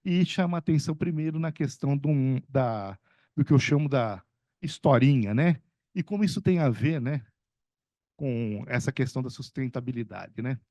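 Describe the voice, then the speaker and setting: A man's voice: mid-range voice, moderate pace, mellow, no room sound. A middle-aged man from São Paulo state, an economics professor speaking at a panel discussion in a rambling, conversational way with many fillers and restarts. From São Paulo state, Brazil.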